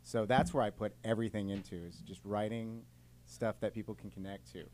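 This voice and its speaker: A man's voice: fairly low pitch, steady tone, brisk, darkish tone. Earnest rambling man with faint hum behind. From Los Angeles. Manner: earnest, rambling, with many fillers. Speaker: band member. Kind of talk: casual interview chat.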